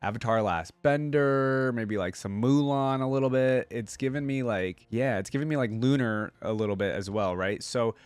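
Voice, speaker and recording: A man's voice: mid-range voice, moderate pace, few pauses, smooth, no room sound. A man hosting a reaction video with casual commentary, slangy and informal, thinking aloud as he goes.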